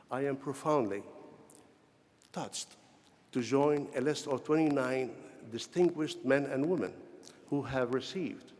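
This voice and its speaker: A middle-aged or older man from Syria with a Syrian Arabic accent gives a formal, prepared ceremonial speech in measured, deliberate short phrases. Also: mid-range voice, a little mellow. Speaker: honorary doctorate recipient giving convocation address. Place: large convocation hall, speaking to graduates. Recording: echoey large hall with long reverb.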